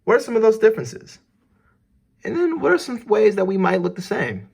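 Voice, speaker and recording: A man's voice: high voice, huge pitch swings, fairly quick, gravelly, a little mellow, breathy edge. A man reading an educational text aloud for children as a teacher, putting questions directly to the listener.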